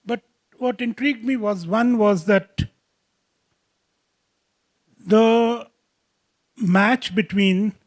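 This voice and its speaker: A man's voice: high-pitched, extremely slow, pauses often. A man interviewing an author on stage, asking long, searching questions with many fillers.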